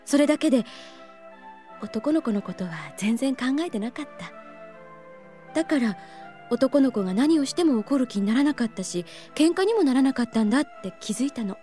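A voice actress playing a gentle, wistful young woman teacher in an anime audio drama, soft and reflective. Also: high-pitched, expressive, a little fast, faint music behind.

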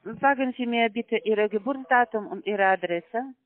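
A woman's voice: a little high, moderate pace, no echo. A woman working as a call center agent on a phone call, talking in broken grammar with constant hesitant fillers. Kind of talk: phone sales pitch. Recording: tinny dry phone line.

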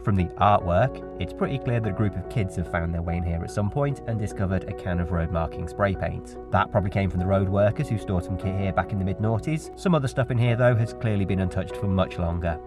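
Wry, deep-voiced man exploring ruins. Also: quick pace, few pauses, loud music behind the voice. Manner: wry, chatty, marvels at decay. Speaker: urban explorer vlogger. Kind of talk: on-location exploring commentary and voice-over narration. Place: outdoors and in derelict sheds.

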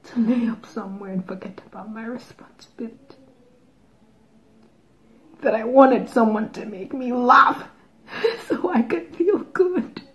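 A woman performing a dramatic monologue as an anguished, long-suffering wife, moving between whispers and loud outbursts on a lo-fi recording. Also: a little high, a little slow, long pauses, natural voice. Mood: indignant, wounded.

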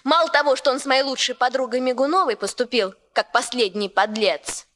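Spurned young factory girl in a soviet radio drama, highish sharp voice, scolding and vengeful. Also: a little fast. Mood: indignant, threatening. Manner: scolding, emotional, colloquial. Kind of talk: Soviet radio play acting.

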